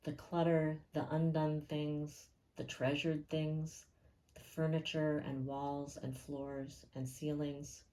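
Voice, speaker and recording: A woman leading a guided meditation in a calm, gentle and unhurried way, leaving pauses between phrases. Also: low-pitched, poor audio.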